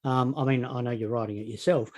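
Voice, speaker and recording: Man, Australian accent, warm mellow tone, chatty interview host, encouraging. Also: medium pitch, steady tone, fast talker. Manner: enthusiastic and encouraging, with filler sounds and throat clearing. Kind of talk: casual interview chat.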